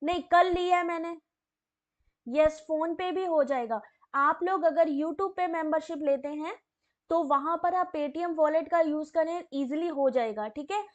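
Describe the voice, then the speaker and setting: A woman with a hoarse, sore throat teaching a live online exam-prep class, reading out questions and chatting with viewers by name. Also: high voice, unhurried, muffled recording.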